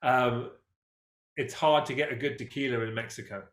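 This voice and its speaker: A man hosting a casual interview, his voice at a middle pitch. The room around him rings with echo. He asks short, easy questions. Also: fairly even intonation, normal speed, a bit bright. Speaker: host. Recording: roomy echo.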